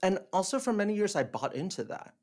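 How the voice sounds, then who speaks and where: A man from Hong Kong whose first language is Cantonese, a theatre director in casual podcast conversation, animated and laughing often, with many fillers and restarts. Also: high-pitched, fast talker.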